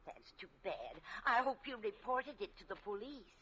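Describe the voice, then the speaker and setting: A woman's voice: mid-range voice, big pitch swings, normal speed, fairly bright tone, slight background noise. An American actress playing a kindly, scatterbrained elderly landlady, motherly and fussy, in an old-time radio comedy. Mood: guileless. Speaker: radio sitcom supporting actress. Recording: muffled old radio recording.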